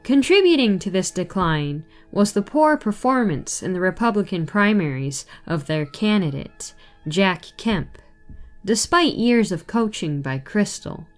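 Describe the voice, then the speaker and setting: Slow-paced woman reading aloud. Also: medium pitch, lively intonation, soft background music. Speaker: reader. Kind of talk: scripted reading of a political article aloud.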